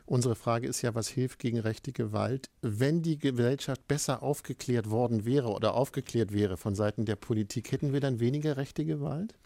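A man hosting and moderating a call-in radio discussion, calm and measured as he steers the guests. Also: medium pitch, creaky, no echo. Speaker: radio talk show host.

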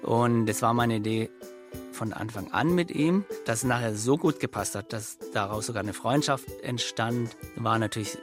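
A middle-aged man with a Swabian accent, a company founder telling his story in an interview, lively and anecdotal. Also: medium pitch, normal speed, over music.

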